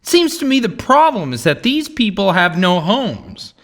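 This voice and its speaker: A man's voice: sits high, very animated, a little slow, a bit bright, exaggerated voice. A man playing an exasperated, hot-tempered slacker in an audio comedy sketch, sweary and whiny. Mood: musing.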